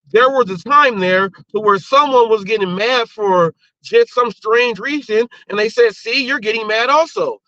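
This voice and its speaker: A man hosts a casual talk show in a high, bright voice. His delivery is emphatic and colloquial. The sound is dry but dull and lo-fi, like stream audio. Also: no room sound.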